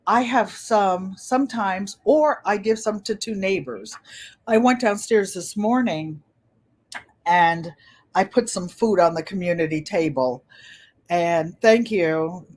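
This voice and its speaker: A woman in her seventies talks on her own livestream in a gentle, pleasant voice. She rambles cheerfully and says many phrases twice. Her pitch sits in the middle for a woman. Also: slow pace. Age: 70s. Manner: chatty, rambling, repeats phrases. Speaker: channel host. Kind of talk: casual livestream chat.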